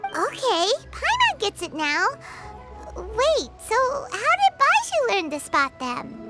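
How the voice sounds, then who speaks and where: A woman voicing a tiny, chirpy, childlike fairy sidekick in a video game, curious and excitable, with a squeaky voice. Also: takes it slowly, heavily acted. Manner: speaks of self in third person, exclaims. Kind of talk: video game character dialogue. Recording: loud game music behind.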